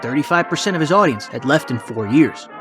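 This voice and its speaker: A man's voice: a little high, expressive, a little fast. A man giving scripted documentary narration as the narrator of a history podcast. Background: music.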